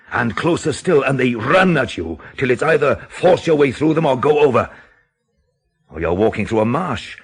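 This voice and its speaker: An actor in a radio drama playing a grave, intense man who speaks in an emphatic, deliberate, theatrical way. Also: on the low side, husky, full character voice. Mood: ominous, hushed. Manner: emphatic, dramatic, deliberate phrasing with rhetorical questions. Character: grave, intense countryside man expounding a dreadful theory.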